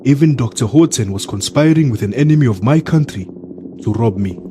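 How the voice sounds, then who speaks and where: A man playing a dignified, guarded African warrior king in an audio drama, measured and formal. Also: mid-range voice, animated, dark tone, a little hiss or hum, natural voice. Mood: grave, reproachful.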